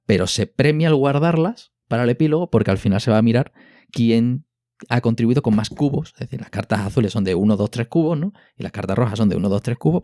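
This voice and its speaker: A man's voice: medium pitch, darkish tone, no room sound, clean studio sound. A man with an accent from Spain, enthusiastically explaining in a casual board game podcast chat, often checking in with tag questions. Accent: Spanish (Spain). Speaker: podcast co-host.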